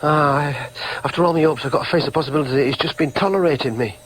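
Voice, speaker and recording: An actor playing an earnest, needy grown son in a radio drama, questioning himself emphatically. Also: male voice, on the high side, rapid-fire, a little hiss or hum, lightly acted, poor audio. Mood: hurt, forlorn. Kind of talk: radio drama with inner monologue.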